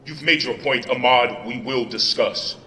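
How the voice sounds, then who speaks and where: A man voicing a council chairman who is commanding and booming. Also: unhurried, pushed and bright, roomy sound, slight background noise. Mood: stern. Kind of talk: audio drama acting.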